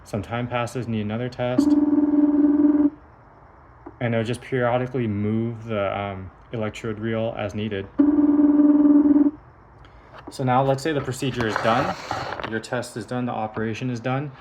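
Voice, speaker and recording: A man's voice: mid-range voice, steady tone, measured pace, long pauses, very noisy. A man giving a hands-on technical demonstration of a device in a methodical, explanatory manner. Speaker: engineer presenting a prototype device.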